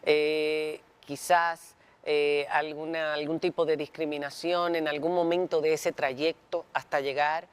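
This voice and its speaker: A woman's voice: deep voice, level tone, a little slow, clear, resonant tone. A middle-aged or older woman with a Dominican accent, hosting a conversational television interview and putting questions to guests. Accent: Dominican Spanish. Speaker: interview host.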